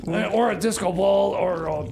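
A man's voice: sits high, normal speed, vocal fry, crowd noise. A man playing a frazzled, rambling mechanic who stammers and repeats himself in a comedy radio skit. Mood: rambling, earnest. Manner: stammering, repetitive, flustered. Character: frazzled, rambling mechanic and time traveller Jeb. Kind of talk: comedy radio theater, semi-improvised.

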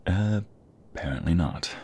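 A man playing a playful, doting young husband in an ASMR roleplay, with a breathy voice that often slips toward a whisper and a teasing, affectionate delivery. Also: low voice, a little mellow, a little hiss or hum. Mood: puzzled, searching. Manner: teasing, affectionate, drawn-out delivery with pet names.

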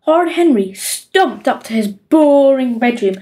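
Slow, high-voiced woman reading stories. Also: animated, warm tone. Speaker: audiobook narrator. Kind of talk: expressive children's story reading.

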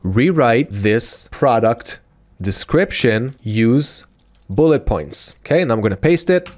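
A man in his thirties hosting a software tutorial, explaining things emphatically and speaking straight to the viewer. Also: mid-range voice, expressive, takes it slowly. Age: 30s. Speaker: tutorial host. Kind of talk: software tutorial talk to camera. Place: indoor studio room. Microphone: desk microphone close in front of him.

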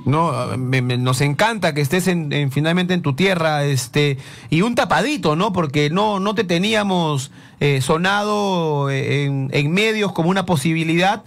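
A man hosting a live radio sports interview in a studio, asking lively, rambling questions full of restarts. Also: a little high, normal speed. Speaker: radio show host.

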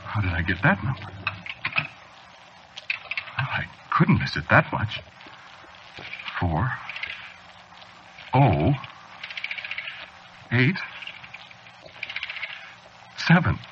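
An actor playing a haunted, paranoid man losing his mind in 1940s radio style, halting and agitated as he confides his story. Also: on the low side, very animated. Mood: brooding. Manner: halting, agitated, repeating himself. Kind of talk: 1940s radio acting, confiding first-person narration. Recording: muffled, noisy vintage radio recording.

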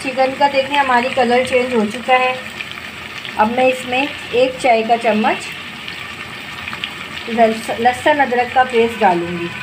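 A woman's voice: on the high side, a little slow, pauses often. A woman, hosting a home cooking video and explaining each step to her viewers in a homely, instructive way, recorded on a phone over the stove in her kitchen. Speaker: cooking channel host. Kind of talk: home cooking tutorial narration. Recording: noisy with frying sizzle behind. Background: sizzling and stirring in a pot.

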